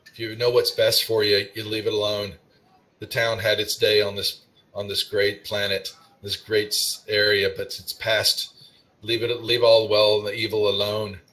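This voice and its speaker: A man voicing a grumpy old fisherman with a taste for drink, speaking in a gruff, folksy manner during a tabletop game. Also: on the low side, completely flat delivery, normal speed, pushed and bright, low-quality recording. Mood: warning.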